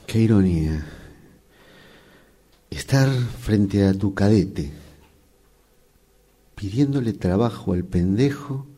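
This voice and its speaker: Un actor con acento rioplatense que interpreta en un radioteatro a un jefe engreído y vengativo, de tono sardónico y condescendiente. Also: male voice, sits low, mellow, exaggerated voice. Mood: scornful. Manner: sardonic, deliberate, condescending. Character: smug vindictive male boss, former errand boy. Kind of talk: radio drama acting.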